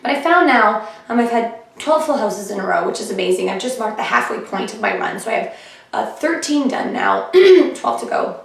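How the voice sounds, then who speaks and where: A woman comedian chatting casually in a vlog about her shows, her voice a little hoarse and often clearing her throat. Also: mid-range voice, animated, moderate pace. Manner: chatty and upbeat, with fillers. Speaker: comedian vlogging her diary. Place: living room of a flat, indoors. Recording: echoey room, heard from a distance.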